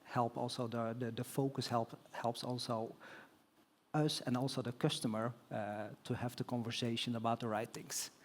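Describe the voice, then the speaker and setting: A man's voice: mid-range voice, little pitch movement, moderate pace. A man speaking as a guest on a conference stage panel, answering with frequent fillers, restarts and non-native phrasing. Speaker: telecom executive guest on panel.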